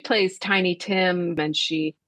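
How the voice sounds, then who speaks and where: Low-pitched woman in a dry studio interview. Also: fairly even intonation, slow pace, a bit bright, no echo. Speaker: interview guest, theatre artistic director. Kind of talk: conversational radio interview.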